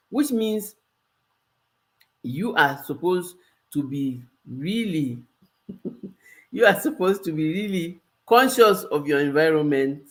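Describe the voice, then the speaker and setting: A Cameroonian-accented woman coaching her viewers in a live stream. She speaks earnestly, circling back and repeating her key phrases for emphasis. Her tone is that of a life coach giving advice. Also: low voice.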